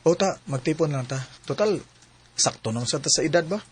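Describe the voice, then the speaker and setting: A man's voice: fairly high pitch, expressive, a little fast, slight background noise, lightly acted. An actor with a Cebuano accent playing an earnest young working man in love in a radio drama. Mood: gentle, persuading. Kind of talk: radio drama acting and narration.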